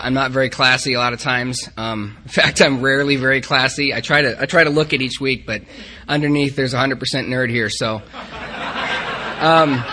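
A man's voice: mid-range voice, pushed and bright. A man from the Upper Midwest giving a candid, self-deprecating personal talk to a recovery group. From Upper Midwest of the United States. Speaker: speaker at a recovery group meeting.

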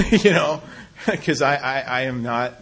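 A man sharing his own recovery story in a halting, self-deprecating way, often restarting sentences and laughing at himself. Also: mid-range voice, animated, a bit bright, husky. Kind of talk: personal testimony talk.

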